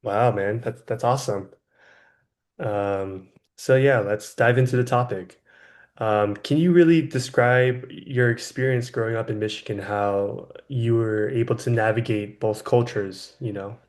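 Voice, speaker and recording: A man's voice: medium pitch, unhurried. College-age man hosting a podcast, friendly and casual, from California, filler-heavy. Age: 20s. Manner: friendly, lots of ums and you knows. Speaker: podcast host. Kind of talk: casual chat.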